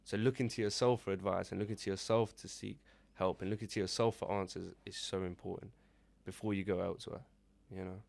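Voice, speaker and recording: Low-voiced young man, earnest chat. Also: fairly even intonation, brisk, creaky, hardly any pauses, darkish tone. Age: 20s. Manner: reflective, earnest, fillers like "you know". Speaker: podcast co-host. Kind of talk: casual chat, giving advice.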